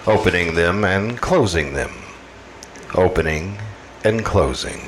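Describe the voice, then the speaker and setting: A man playing a knowing mystery storyteller, narrating deliberately and dramatically in old-time radio style. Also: deep voice, animated, background noise. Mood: ominous.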